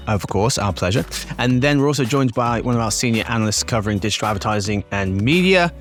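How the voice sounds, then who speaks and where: A man's voice: fairly low pitch, expressive, fast talker, soft background music. A man hosting a business podcast in a cheery, jokey, chatty way. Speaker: podcast host.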